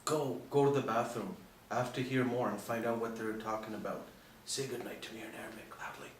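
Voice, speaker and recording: A man's voice: mid-range voice, steady tone, a little fast, natural voice. A man playing a cool, sly undercover pilot in a screenplay read, speaking in a clipped, insinuating, matter-of-fact way. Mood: guarded, probing. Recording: echoey room, distant mic, faint noise.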